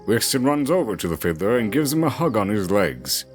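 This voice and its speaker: A man's voice: fairly low pitch, big pitch swings, a little fast. A man narrating a scripted fantasy audio drama in a dramatic storytelling style. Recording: music under the voice. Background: music.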